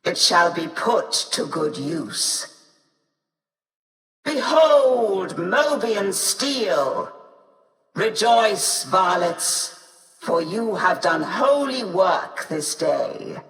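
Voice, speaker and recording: Haughty machine priest for a game, high voice, grand ritual way of talking, echoey. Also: male voice, very animated, very slow, fairly bright tone, full character voice. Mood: solemn, exultant. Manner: ceremonial, haughty, archaic wording. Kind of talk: video game voice acting. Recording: distant, echoey hall reverb.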